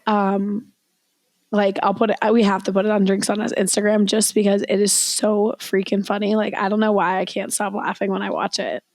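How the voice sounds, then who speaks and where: A young woman co-hosting a podcast, hoarse and raspy from losing her voice, chatting casually with lots of "like" fillers. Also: medium pitch, flat delivery, normal speed, a little mellow, dry recording. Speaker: podcast co-host. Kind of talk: casual chat.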